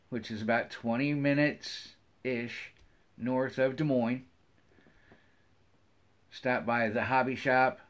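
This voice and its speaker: A man's voice: mid-range voice, fairly bright tone. A middle-aged man with an American accent chats casually to viewers as a hobby vlogger, rambling in a folksy way and often repeating his phrases. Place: wood-paneled room at home, indoors.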